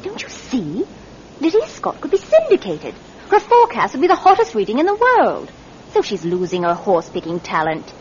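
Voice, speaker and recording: A woman playing a scheming, sharp-tongued grown daughter in an old radio drama, cutting and persuasive, both narrating and acting. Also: a little high, wildly swooping pitch, moderate pace, slight background noise. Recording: dull vintage radio, music behind.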